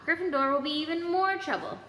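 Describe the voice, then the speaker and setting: A nervous schoolboy played by a woman from a storybook, earnest and stubbornly insistent. The pitch is high and bright, in a reverberant space. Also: a little fast. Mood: defiant, anxious. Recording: echoey hall, background noise.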